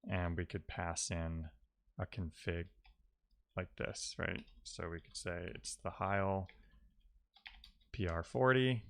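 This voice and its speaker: A man who streams live coding, chatting casually and musing out loud in loose fragments as he works. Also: deep voice, slight background noise. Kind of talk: casual thinking-aloud coding stream chat.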